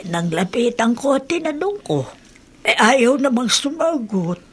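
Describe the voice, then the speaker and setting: A woman's voice: on the high side, very animated, unhurried, husky, exaggerated voice. An actress playing a kindly, talkative older working woman in a Filipino radio drama, with a folksy, hesitant storytelling manner. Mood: earnest storytelling.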